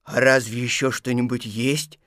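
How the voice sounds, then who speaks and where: A man's voice: mid-range voice, flat delivery, moderate pace, a bit bright, no room sound, studio quality, close to an everyday voice. A man playing a naive, greedy, good-natured toy bear in a children's audio tale, hesitant and childlike. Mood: hesitant, hopeful.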